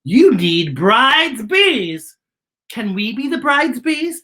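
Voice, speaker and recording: Excitable bees from a children's picture book, put on by a woman. They beg over and over in a high, buzzy voice, bright and wildly animated. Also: slow pace, exaggerated voice. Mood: pleading. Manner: pleading, buzzy, repetitive. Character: excitable pleading bees, wheezing and buzzing.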